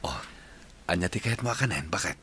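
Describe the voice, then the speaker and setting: A man playing a caring, earnest young husband in a radio drama, speaking gently and solicitously with an Ilocano accent. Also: deep voice, lively intonation, fairly quick, slight background noise. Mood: tender, attentive.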